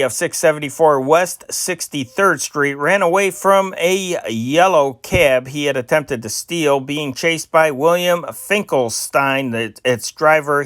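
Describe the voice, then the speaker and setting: A man's voice: on the high side, a bit bright, no echo, clean studio sound. A man reading old newspaper stories aloud as an amateur news reader, stumbling and correcting himself now and then.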